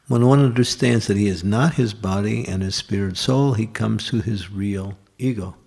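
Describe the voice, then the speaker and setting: Low-voiced man with a gravelly edge, teaching scripture, explains patiently through rhetorical questions. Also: a little mellow. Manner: explanatory, asks and answers his own questions; lists examples in short runs. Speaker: lecturer at a temple class. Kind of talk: scripture class talk.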